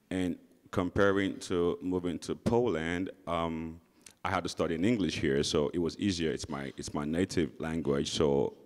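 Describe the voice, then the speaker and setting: A man from Nigeria, a graduate answering on a panel in an earnest way with fillers. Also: low voice. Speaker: alumnus panelist. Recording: long hall reverb.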